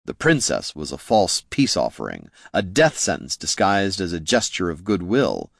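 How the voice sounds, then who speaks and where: A low-voiced necromancer's son from a fantasy audiobook, as a male narrator plays him. He is bitter and knowing, explaining in measured phrases. The sound is very dry. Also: big pitch swings, creaky, no room sound, full character voice. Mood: grim, explaining.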